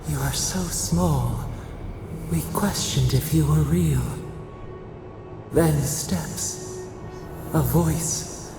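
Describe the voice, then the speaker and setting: A woman voicing an ancient, pained godlike entity in a video game, solemn and measured. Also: deep voice, vocal fry, full character voice. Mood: solemn awe. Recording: long echoing reverb, music behind.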